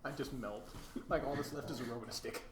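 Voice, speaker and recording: A man's voice: mid-range voice, fast, a crowd in the background. A man playing a tabletop game who keeps making joking side remarks. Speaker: player. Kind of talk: casual tabletop play.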